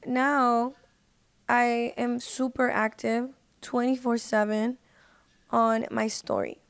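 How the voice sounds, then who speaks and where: High-voiced woman chatting casually. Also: level tone, slow pace, dry recording. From United States. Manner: informal, slangy, emphatic with "like" and "literally". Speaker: podcast guest, independent singer. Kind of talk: casual chat.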